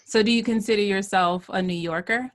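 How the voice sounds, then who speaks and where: Brief: woman podcast host, casual and chatty, mid-pitched, ready laugh. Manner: chatty and upbeat, quick to laugh. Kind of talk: casual interview chat.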